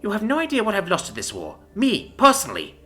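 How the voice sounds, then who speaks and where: A man's voice: high-pitched, huge pitch swings, rapid-fire, a bit bright, soft background music, voice pushed up, heavily acted. A man voicing a bitter, sneering young woman military commander who vents her fury in a profane rant. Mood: furious venting.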